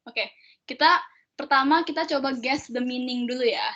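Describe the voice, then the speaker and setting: A young woman co-hosting a student chat on a video call, giving brief hurried remarks. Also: high-pitched, fairly bright tone. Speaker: student co-host. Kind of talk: casual chat. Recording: dull lo-fi video call audio.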